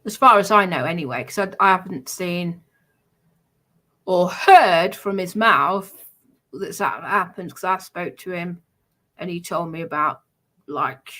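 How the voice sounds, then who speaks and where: A woman's voice: on the low side, fairly bright tone. A woman chatting casually in a defensive way, often repeating herself.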